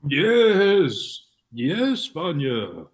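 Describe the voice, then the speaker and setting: A man playing a meek, wistful hanger-on in an amateur play reading, in a plaintive, deliberate manner. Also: on the high side, huge pitch swings, exaggerated voice. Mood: anxious. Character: meek, wistful male hanger-on in rural Russia.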